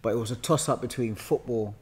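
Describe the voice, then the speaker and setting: A man in his thirties speaks with a London accent at a middling pitch. He tells stories as an interview guest, loosely and colloquially, often repeating his words as he goes. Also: a little fast, husky. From London, England. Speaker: guest actor. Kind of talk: casual interview storytelling. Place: bright indoor room with sofa. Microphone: podcast microphone on boom arm.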